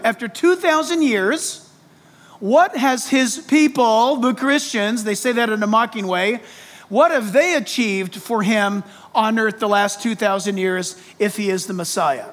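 A man with a very high-pitched voice delivers a sermon as a pastor would. He explains point by point in an emphatic yet conversational way, addressing his listeners directly and putting questions to them. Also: fairly bright tone. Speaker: pastor preaching to congregation. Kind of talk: expository sermon.

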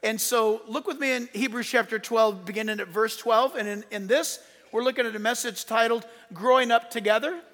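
A man preaching a sermon as pastor, emphatic, rising into loud bursts and dropping low for asides. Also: brisk, few pauses. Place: church sanctuary. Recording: long reverb of a hall.

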